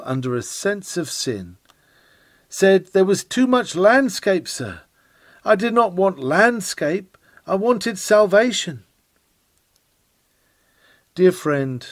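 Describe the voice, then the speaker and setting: A man reading an old sermon aloud in an earnest, emphatic, oratorical manner, with measured phrasing. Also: a little high, no echo. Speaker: reader of a historic sermon.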